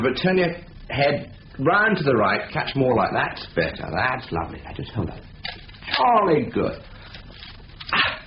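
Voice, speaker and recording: A man acting an offhand, businesslike crook in a 1970s radio drama. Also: mid-range voice. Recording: dull, hissy 1970s radio recording.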